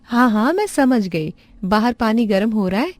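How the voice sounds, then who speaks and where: Lady doing humble young village wife from a cartoon story, kind n earnest, gentle plain talk, dry studio sound. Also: on the high side, very animated, normal speed, no room sound. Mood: warm.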